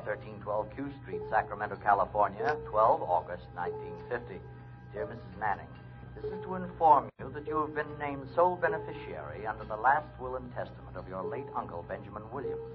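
An actor playing a businesslike lawyer in a 1950s radio drama, declaiming an official letter in measured, formal tones. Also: male voice, lowish voice, natural voice. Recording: dull vintage radio, music behind.

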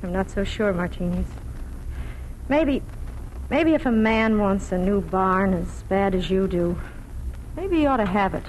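An actress in a 1940s radio drama playing a gentle, practical farm wife and mother, earnest and soothing. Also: mid-range voice, animated, a little slow, pauses often, lightly acted. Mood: tender concern. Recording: noisy vintage 1940s radio recording.

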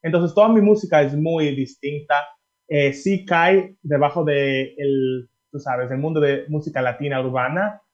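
A Dominican-born singer being interviewed, answering in a chatty way with many fillers and restarts. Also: male voice, on the high side, a little slow, muffled recording. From Dominican Republic. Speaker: singer guest.

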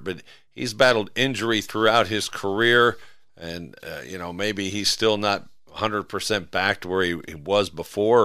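Bright, clear, low-pitched male sports host. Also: no echo, clean studio sound. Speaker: sports radio show host. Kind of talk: conversational sports interview and commentary.

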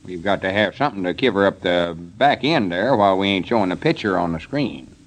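A lowish old man's voice for 1930s radio comedy. He is a stubborn small-town storekeeper full of big plans, talking in a folksy, drawling rural Southern American way. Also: expressive, brisk. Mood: scheming, confident. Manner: folksy, drawling country talk. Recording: 1930s radio broadcast recording.

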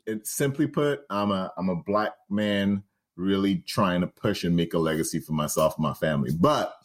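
A man with a Trinidadian accent and a very low, deep voice talks casually as a guest on a call. He laughs easily and often circles back, restarting sentences while he gathers his thoughts. He sounds like a man in his forties. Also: normal speed. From Trinidad and Tobago. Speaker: guest, real estate investor. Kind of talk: casual interview talk. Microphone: on the line, remote call.

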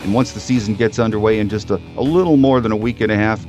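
A man's voice: lowish voice, fast, darkish tone, faint music behind. A man hosting a sports podcast, introducing segments and guests in a conversational style.

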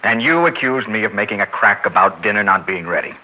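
Worn-down husband, played by an actor, pleading and reasonable, low register, dull vintage broadcast. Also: male voice, fast talker, natural voice. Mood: strained, reasoning. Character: weary, exasperated husband pleading for peace. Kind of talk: 1960s radio drama acting. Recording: dull 1960s radio recording.

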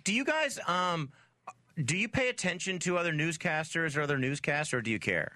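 Bright, halting male radio host. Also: a little high, animated, dry recording, studio quality. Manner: halting, repeats words as he asks questions. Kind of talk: casual radio interview.

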